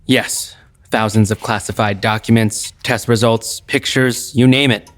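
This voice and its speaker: A man's voice: on the low side, fairly even intonation, unhurried, lightly acted. A man playing a blunt, worried teenage boy with secret powers in an audio drama. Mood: explaining.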